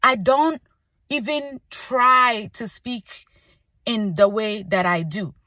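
A woman's voice: on the high side, slow pace, sharp tone, no echo. A woman in her forties from Ghana, with an accent between Ghanaian and American, speaking passionately to her followers in a vlog. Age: 40s. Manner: passionate, emphatic, repeats for emphasis. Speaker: content creator. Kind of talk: direct-to-camera vlog.

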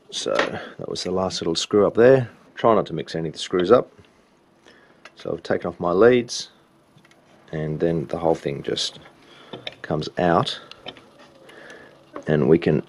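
A man's voice: sits low, darkish tone, a little hiss or hum. A man giving an informal, step-by-step repair walkthrough at a workbench. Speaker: repair hobbyist demonstrating a belt change. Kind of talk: casual hands-on repair walkthrough.

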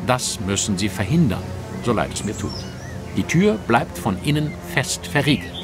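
An actor playing a shrewd, commanding master detective in an audio drama, speaking in a precise, formal, instructive manner. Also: male voice, mid-range voice, sing-song, normal speed, music in the background, lightly acted. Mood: brisk, instructing. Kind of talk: German audio drama acting.

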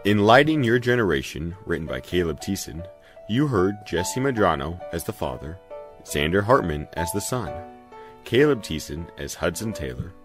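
A man's voice: sits low, sing-song, normal speed, soft background music. A man reading scripted closing credits for an audio drama as its announcer.

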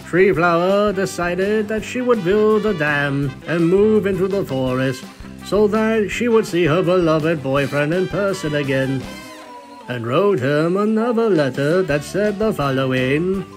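A man's voice: high-pitched, unhurried. A man reading scripted storybook-style narration of a cartoon story in a theatrical, presenting manner. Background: music behind the voice.

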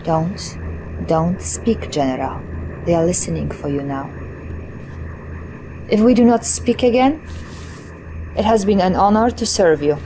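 An actress playing a poised, loyal Soviet intelligence lieutenant in a video game, measured and dry, with a Russian accent. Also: female voice, fairly low pitch, lively intonation, noisy background. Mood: grave, hushed.

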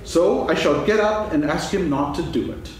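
An actor playing a gentle, simple-minded, kindly bear in a staged reading of a children's story, in an echoey hall with faint hiss. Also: male voice, on the high side, moderate pace, recorded from a distance, natural voice. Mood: puzzled. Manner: gentle, musing, polite.

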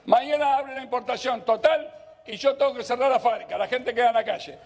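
A man's voice: very high-pitched, brisk, a little mellow, big-room sound. An eighty-year-old man with an Argentine Rioplatense accent arguing heatedly and repeating himself as a merchant in a live TV interview. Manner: agitated, argumentative, repeats himself. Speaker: textile merchant being interviewed. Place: inside a clothing shop. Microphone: handheld reporter microphone.

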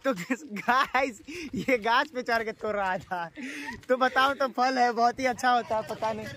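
Chatty high-voiced man filming a village vlog. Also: fairly even intonation, unhurried, sharp tone. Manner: chatty and teasing, addresses viewers as guys. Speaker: vlogger. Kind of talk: casual village vlog chat. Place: outdoors on a rural village road. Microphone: phone held at arm's length.

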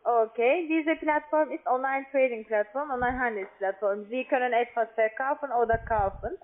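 Female telesales agent, non-native with foreign accent, high voice, halting delivery, phone-line sound. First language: not German. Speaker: call centre account agent selling online trading. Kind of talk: phone sales pitch. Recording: tinny, narrow phone line.

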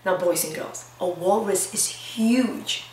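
A woman in her thirties, a preschool teacher reading aloud and talking to young children in a simple, expressive way with questions for them. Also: on the low side, slow. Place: indoor classroom-like room. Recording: roomy echo, distant mic, faint noise.

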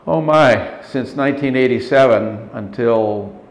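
A man in his sixties, an interview guest, talking in a measured, thoughtful way with frequent restarts in an office meeting room. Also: mid-range voice. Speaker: interview guest, leadership consultant. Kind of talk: reflective interview talk. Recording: roomy indoor sound.

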